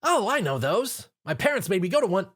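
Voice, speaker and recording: A man's voice: high voice, big pitch swings, brisk, a bit bright, pitched above the performer's own voice, heavily acted. A man voicing a brash, sporty tomboy mare who talks bluntly and scoffs.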